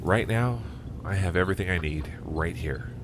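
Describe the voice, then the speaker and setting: Casting: devoted husband male actor, very low voice, subdued and gentle, radio drama over loud background noise. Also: normal speed, natural voice. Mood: resigned. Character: tender, resigned husband of an ailing wife. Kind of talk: audio drama acting and first-person narration. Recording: loud background ambience, vehicle rumble.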